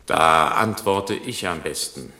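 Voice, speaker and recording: An actor playing a haughty, cynical French commander in a radio play, curt, dismissive and authoritative. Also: male voice, low voice, takes it slowly, pushed and bright, heavily acted. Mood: cool dismissal.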